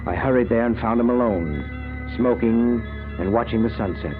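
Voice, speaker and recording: A man's voice: mid-range voice, normal speed, narrow, tinny audio, over music, natural voice. An actor playing an obsessed, nervously intense middle-aged man, speaking earnestly and dramatically in a 1940s style radio drama. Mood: haunted, intense. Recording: thin old radio recording.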